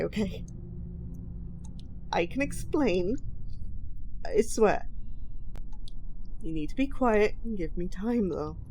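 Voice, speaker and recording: Slow, nervous lovesick kidnapper played by a woman. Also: a little high, slight background noise. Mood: pleading, frantic. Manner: halting, hesitant, flustered and apologetic. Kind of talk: audio roleplay acting, spoken to the listener.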